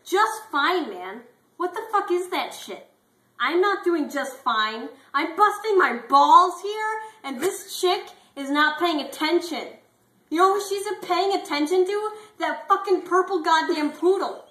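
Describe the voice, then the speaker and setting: A woman's voice: very high voice, expressive, a little slow, a bit bright, roomy sound, pitched above the performer's own voice, heavily acted. A woman voicing an upset, insecure male bulldog from a storybook, ranting emphatically and swearing. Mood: frustrated, ranting. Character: upset, foul-mouthed insecure male bulldog venting to his friend.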